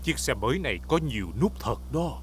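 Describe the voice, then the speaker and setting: A man's voice: medium pitch, lively intonation, a little fast, faint music behind, natural voice. A man voicing a jolly, boastful father pig with a hearty manner in a dubbed children's cartoon. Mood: curious. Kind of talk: dubbed preschool cartoon acting.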